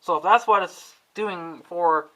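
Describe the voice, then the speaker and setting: A man's voice: high voice, a little slow, a bit bright, slight background noise, rough recording. A man vlogging casually at home, rambling and swearing often as he complains about his equipment. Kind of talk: casual talking to camera about gear.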